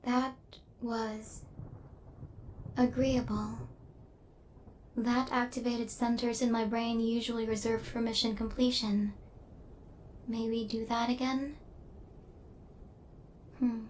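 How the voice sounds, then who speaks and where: A woman plays a female robot hunter in an intimate ASMR roleplay. Her voice sits fairly high and stays very level, with stiff, formal wording. She is coldly logical, yet possessive and tender toward her human target. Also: slow pace, pauses often, slight background noise. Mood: curious, pleased. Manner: formal, clipped machine-like phrasing, deadpan.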